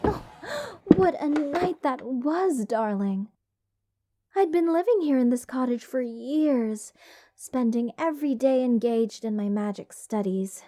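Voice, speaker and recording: A woman performs a curse-loving lady mage in an ASMR fantasy roleplay, sweet and more than a little unhinged. Her voice sits fairly high with a very mellow, soft tone. She rambles at a very leisurely pace, wry and soothing, full of fond endearments. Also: animated, measured pace. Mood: wry, musing.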